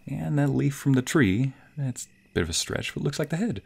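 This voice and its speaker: A man acting a sweet, bashful young waiter on a romantic date in an ASMR roleplay, with a soft, breathy, playful and hesitant delivery. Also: mid-range voice, animated, normal speed. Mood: warm, bashful. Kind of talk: ASMR roleplay, intimate scripted boyfriend monologue.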